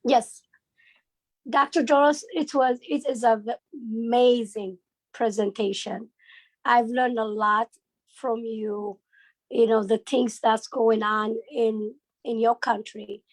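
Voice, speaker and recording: Slow, hesitant woman from Ethiopia. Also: on the high side, fairly even intonation, no room sound, poor audio. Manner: hesitant, with many fillers. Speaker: audience member asking a question. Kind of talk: asking a question in a panel talk.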